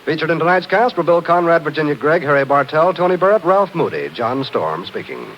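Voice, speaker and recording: A man's voice: a little high, animated, brisk. An American man announcing in polished, formal 1950s radio style, reading from a script. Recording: muffled old radio recording, faint music.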